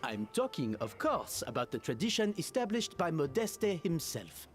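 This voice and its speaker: Brief: a formal, ornate host of a fine restaurant, very animated delivery. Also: male voice, on the high side, moderate pace, soft background music. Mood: courtly. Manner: flowery, formal, courteous phrasing. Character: courtly middle-aged male restaurant manager, polite and formal. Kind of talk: game voice acting.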